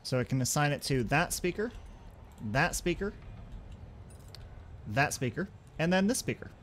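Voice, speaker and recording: A man's voice: fairly high pitch, very animated, normal speed, a bit bright, slight background noise. A man gives casual let's-play commentary as a gaming host, thinking aloud and talking through each step.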